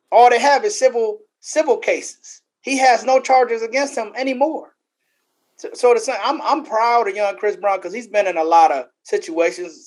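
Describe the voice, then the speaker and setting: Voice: medium pitch, poor audio. An American podcast co-host giving opinions in casual panel talk, speaking emphatically and often repeating phrases for weight.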